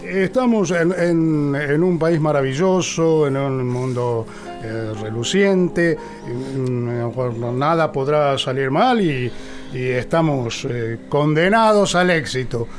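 A man playing a rambling, upbeat president in Argentine political satire, halting and repeating himself, in a radio drama. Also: a little high, faint music behind. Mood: smug cheer. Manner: halting, repetitive, filler-laden speech.

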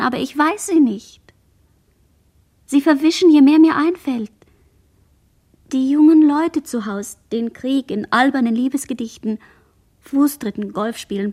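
Traumatized girl in a radio play, high breathy husky voice, halting dreamy fragments. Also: a little mellow. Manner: halting, fragmented, introspective delivery, dropping into whispers. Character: dazed traumatized girl, sole survivor of murders at sea. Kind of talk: literary radio drama monologue.

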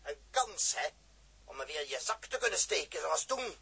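An actor playing a bitter, hot-headed space crewman with a gravelly rasp and a biting, scornful 1960s radio drama delivery. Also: a little high, very animated, normal speed, sharp tone, no echo, full character voice. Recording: dry old 1960s radio recording.

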